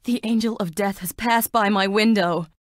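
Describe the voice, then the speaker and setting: A woman's voice: mid-range voice, fairly even intonation, normal speed, no room sound, clean studio sound, natural voice. An actress playing a frail, melancholy young woman in a plague-stricken town, delivering dramatic video game lines in a wistful, languid, theatrical way. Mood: anguished, wistful.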